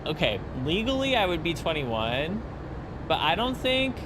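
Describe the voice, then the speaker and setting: A man's voice: a little high, very animated, unhurried, noisy background. A man in his early twenties chatting casually with his stream viewers while flying, with rambling asides. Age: 20s. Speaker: flight sim streamer.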